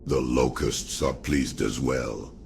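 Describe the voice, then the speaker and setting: A man voicing a giant undead spider lord in a fantasy game cutscene, gloating and crooning grandly in a creaking croak. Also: steady tone, background noise, exaggerated voice. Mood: pleased. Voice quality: deep gravelly creaking croak. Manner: slow, crooning, speaks grandly of himself.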